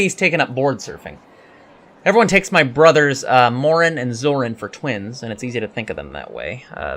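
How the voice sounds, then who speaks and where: A man's voice: mid-range voice, fairly bright tone. A man playing an earnest young alien cadet in an audio drama, speaking politely and precisely with hesitant ums. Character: earnest young male alien Starfleet cadet, eager and scholarly. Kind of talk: audio drama interview acting.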